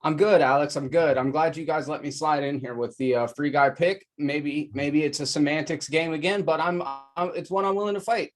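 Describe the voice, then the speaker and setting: A man's voice: on the high side, steady tone, brisk, few pauses, soft background music. A man taking part in a casual debate show, making his case in a wry, argumentative way. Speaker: debate show contestant, podcaster.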